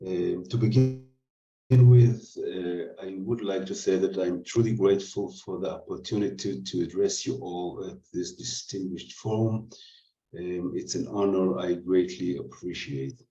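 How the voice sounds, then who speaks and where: Israeli man, low mellow voice, formal hesitant lecturer, distant echoey hall pickup. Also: steady tone, a little slow. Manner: formal, hesitant with many fillers. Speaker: guest speaker. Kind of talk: lecture read from notes. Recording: dull, distant, roomy lecture-hall sound.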